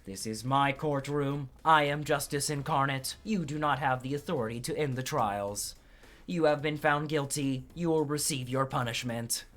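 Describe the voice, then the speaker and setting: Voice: medium pitch, moderate pace, fairly bright tone, voice pushed up, lightly acted. A gamer voicing a pompous, thundering courtroom judge. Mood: outraged, commanding. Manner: commanding, pompous. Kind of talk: dramatic game dialogue reading.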